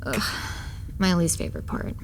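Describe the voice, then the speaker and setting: A woman's voice: normal speed, noisy background, natural voice. A young woman playing a sardonic loner mortician in an audio drama, with chatty asides to the listener. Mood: flustered, sardonic. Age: young adult. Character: wry young woman mortician, loner who sees monsters. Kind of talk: first-person audio drama narration and dialogue.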